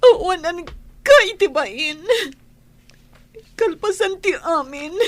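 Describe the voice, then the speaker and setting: A young Ilocano wife, hurt and reproachful, in an audio drama. Her high voice pleads emotionally, with words drawn out very slowly. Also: lively intonation, heavily acted. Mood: reproachful, pleading. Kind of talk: Filipino audio drama acting.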